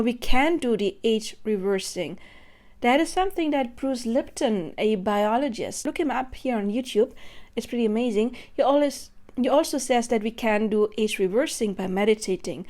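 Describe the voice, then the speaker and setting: A woman's voice: fairly high pitch, a little mellow. A woman in her thirties giving a spontaneous, earnest monologue to camera about her spiritual beliefs, thinking aloud as she goes. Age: 30s. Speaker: spiritual vlogger.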